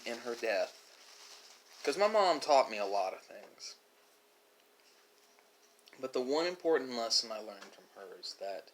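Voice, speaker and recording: A man with a mid-pitched voice delivers a reflective monologue in the style of a video host. He speaks haltingly, leaving drawn-out pauses between phrases, with faint noise and clicks behind him. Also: rough recording. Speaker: host of an ASMR mukbang channel. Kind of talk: musing philosophical monologue.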